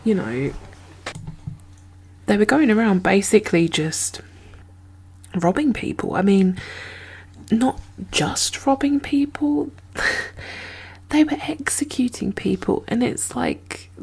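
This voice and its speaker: A woman playing an anxious, caring zombie apocalypse survivor in a soft-spoken, half-whispered ASMR roleplay, hesitant and musing, with breathy sighs. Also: medium pitch, animated, takes it slowly, pauses often, exaggerated voice. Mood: troubled, indignant.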